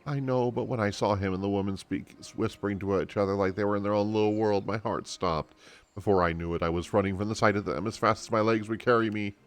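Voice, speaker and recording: A man plays an anxious young noblewoman in a fantasy game, lovesick and proud. The words come in halting stammers, pitched fairly low, with music behind. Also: fairly even intonation, close to an everyday voice. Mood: distraught.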